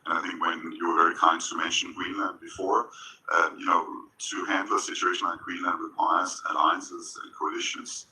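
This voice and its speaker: Older man, Danish accent, very deep voice, panel speaker, conversational, slight background noise. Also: flat delivery, normal speed, bright, forceful tone, low-quality recording. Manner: conversational, self-deprecating, addresses the audience. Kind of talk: panel discussion. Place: conference stage.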